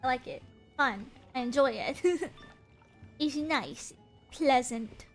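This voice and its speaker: High-voiced stuffy-nosed woman streamer. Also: slow, soft background music. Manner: chatty, giggly, rambling. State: congested, stuffy nose. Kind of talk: casual gameplay chat.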